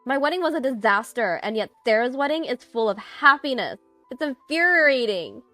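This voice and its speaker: Woman playing spiteful vain sister, indignant, loud, high-pitched, dramatized story, background music. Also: big pitch swings, normal speed, no echo. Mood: infuriated. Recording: music behind the voice.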